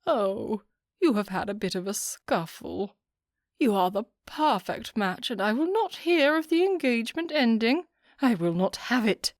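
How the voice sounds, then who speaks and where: A woman's voice: measured pace, no room sound, studio quality. Woman putting on a simpering society hostess. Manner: simpering, excitable, high-pitched. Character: fussy gushing older society hostess, woman.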